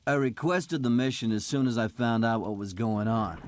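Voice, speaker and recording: An actor playing an easygoing American covert agent who talks in relaxed, joking banter. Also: male voice, mid-range voice, normal speed, a little hiss or hum, natural voice. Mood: friendly.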